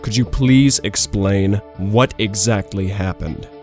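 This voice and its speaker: A man playing a calm, authoritative commander in an audio drama, with a measured, formal way of speaking. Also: on the low side. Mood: composed, firm. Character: calm, authoritative male commander of hero legion. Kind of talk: scripted audio drama acting. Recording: voice over clear guitar music.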